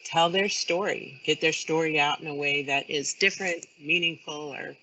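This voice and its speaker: A woman speaking as a guest in a casual interview, hesitant and pausing mid-sentence. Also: sits low, fairly even intonation, pushed and bright, slight background noise, low-quality recording. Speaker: guest, creative services business owner.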